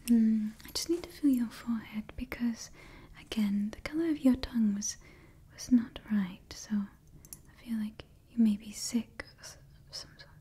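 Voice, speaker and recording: A woman in her twenties whispering an ASMR roleplay close to the microphone, breathy and repeating her phrases. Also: on the high side, normal speed, dark tone, a little hiss or hum. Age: 20s. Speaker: ASMR performer playing a monster party door checker. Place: small indoor setup before a brick backdrop. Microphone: close microphone, face near camera.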